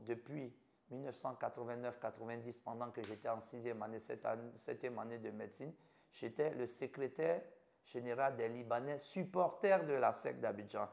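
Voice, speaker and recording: Ivorian French man, 50s, mid-pitched and a bit gravelly, earnest interviewee who lists things off in short phrases. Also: normal speed. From Côte d'Ivoire. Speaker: doctor interviewed about his life. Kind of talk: personal account in an interview.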